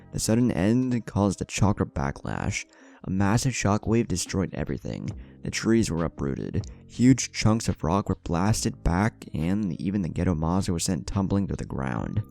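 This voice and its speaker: A man's voice: deep voice, big pitch swings, normal speed, vocal fry, dark tone, soft background music. A man narrating a scripted story in a dramatic style.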